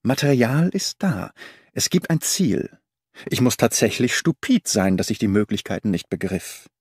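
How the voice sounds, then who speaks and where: Man as audiobook detective, keen, brooding, thinking aloud, lively intonation, dry close sound. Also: lowish voice, husky, no room sound, exaggerated voice. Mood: brooding, absorbed. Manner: murmuring, deliberate, thinking aloud. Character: keen, brooding male detective, intense and impatient. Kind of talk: audiobook character reading.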